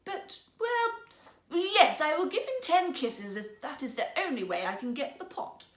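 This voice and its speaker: A woman's voice: high voice, very animated, measured pace, echoey room, pitched above the performer's own voice. A woman voicing a spoilt, haughty young princess in an emphatic, imperious storybook manner. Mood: reluctant, coy.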